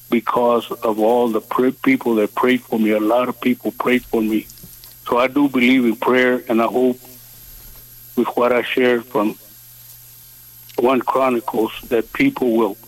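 A man calls in to a radio show. He speaks slowly and earnestly, stopping and restarting as he goes. The sound is dry, with no room around it. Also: medium pitch, no room sound, rough recording. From Texas. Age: 70s. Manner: slow, halting, earnest. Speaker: caller. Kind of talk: phone-in prayer request.

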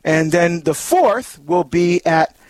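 Upbeat high-voiced radio host deacon. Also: male voice, slow pace. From Barbados. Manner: upbeat, animated, fond of exclamations.

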